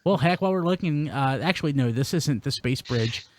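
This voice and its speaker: Male podcast host, lively swings in pitch, lightish register, informal and exclamatory. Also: brisk. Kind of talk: casual toy-news podcast chat.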